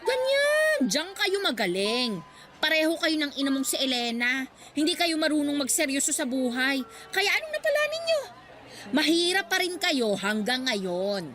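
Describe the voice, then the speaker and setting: Snobbish, insulting female haggler, middle-aged; high, highly animated radio drama delivery. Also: moderate pace. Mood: scornful. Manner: haughty, scornful, nitpicking. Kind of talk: Tagalog radio drama acting.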